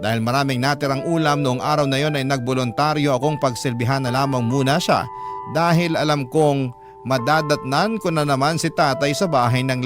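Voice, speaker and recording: A man narrating a listener's letter in the first person as a radio storyteller. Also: medium pitch. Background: instrumental music.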